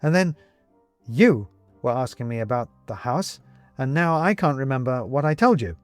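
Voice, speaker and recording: A man voicing a confused, agitated gentleman who keeps losing his memory, stammering and growing frantic. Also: medium pitch, big pitch swings, normal speed, dry recording, soft background music, voice pushed up, close to an everyday voice. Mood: panicked. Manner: stammering, flustered, demanding. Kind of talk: audiobook character reading.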